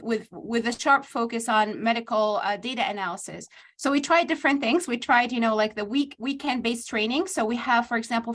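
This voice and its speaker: A woman's voice: a little high, a bit bright. A woman from Tunisia, a university professor earnestly explaining how she runs AI training. She speaks spontaneously with many fillers and restarts, as if on a video call. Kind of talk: spontaneous explanation in a virtual panel.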